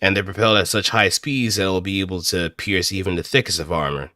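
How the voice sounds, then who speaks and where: A man streaming casually, thinking aloud and improvising as he goes, with hesitant pauses. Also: low voice, fairly even intonation, bright, forceful tone, no room sound, clean studio sound. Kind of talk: casual rambling livestream commentary.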